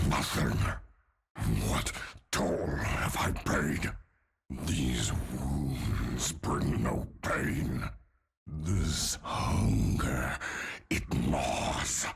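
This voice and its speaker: Hulking undead game villain: very slow, husky, guttural growl, bloodthirsty and menacing. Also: male voice, very deep voice, heavily acted. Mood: brooding, hungry. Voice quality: gravelly, guttural, raspy. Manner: slow, grim, menacing delivery. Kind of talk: video game character voice lines.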